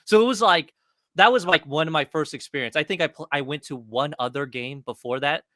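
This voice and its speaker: Bright-voiced man, animated and slangy. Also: fairly high pitch, a little fast, no room sound, studio quality. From Argentina. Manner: animated, slangy, self-deprecating. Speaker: guest, soccer content creator and fan streamer. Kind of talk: casual chat.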